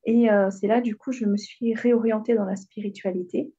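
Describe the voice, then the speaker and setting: A woman's voice: medium pitch, steady tone, normal speed, dark tone, slightly rough, highs cut off. A woman in her thirties from Réunion, telling her personal story as a radio guest, hesitant with many fillers. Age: 30s.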